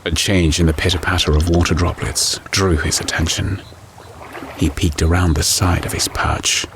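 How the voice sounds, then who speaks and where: A man narrating a dark science fiction story in a scripted, dramatic, measured and ominous style. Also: deep voice, steady tone, noisy background. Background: music.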